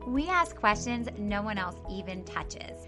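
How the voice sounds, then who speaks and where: A woman hosts a podcast promo, confident and direct, at a middle pitch. Clear music plays under her voice. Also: lively intonation, unhurried. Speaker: podcast host.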